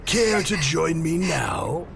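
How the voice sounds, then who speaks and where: A man's voice: fairly high pitch, big pitch swings, slow, creaky, over music, exaggerated voice. A man playing a sadistic, taunting warlord villain in a video game, mocking and theatrical in his menace. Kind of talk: dramatic video game cutscene acting.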